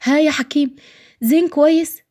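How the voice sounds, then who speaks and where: A woman's voice: high-pitched, steady tone, rapid-fire, pitched above the performer's own voice, close to an everyday voice. A woman voicing a lovesick, anxious young wife in an audio story, pleading urgently with an Egyptian accent. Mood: panicked.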